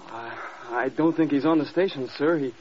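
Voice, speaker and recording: Meek, nervous sergeant played by a male actor for radio drama: higher pitch, hesitant, eager to obey. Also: moderate pace, slight background noise, close to an everyday voice. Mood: flustered, nervous. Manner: hesitant, stammering, deferential. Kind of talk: mid-century radio drama acting. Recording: dull old radio recording.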